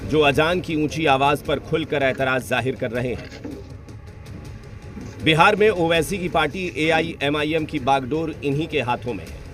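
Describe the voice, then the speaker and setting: A man's voice: fairly high pitch, a bit bright. A man with an Indian accent reading a scripted television news report as a reporter.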